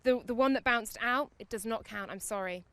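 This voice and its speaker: A woman's voice: on the high side, brisk, fairly bright tone, clean tone. A woman presenting a lighthearted golf challenge, playful and apologetic as she rules on a shot.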